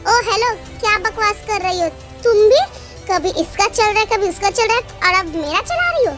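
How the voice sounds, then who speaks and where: A woman's voice: very high voice, expressive, moderate pace, fairly bright tone, soft background music, pitched above the performer's own voice. A woman voicing a jealous, sulky young wife in a romance story, snapping and sarcastic.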